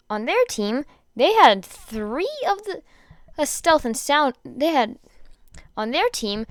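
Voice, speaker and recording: A woman's voice: on the high side, dry recording, clean studio sound. A woman reading fan fiction aloud as an amateur narrator, often stumbling and rereading phrases. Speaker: podfic reader.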